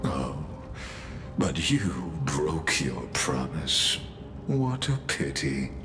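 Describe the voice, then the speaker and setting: An actor voicing a coldly polite, scheming villain in a video game, speaking in a pompous, formal and mocking way. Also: male voice, extremely low pitch, very animated, extremely slow, exaggerated voice. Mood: mock regret, menacing. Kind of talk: dramatic video game voice acting. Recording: music behind the voice. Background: music.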